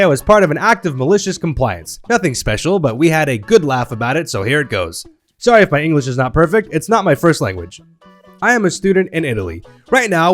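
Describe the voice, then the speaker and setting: Lively man reading stories, animated pitch. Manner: lively, playful storytelling with a wry tone. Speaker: narrator reading Reddit stories.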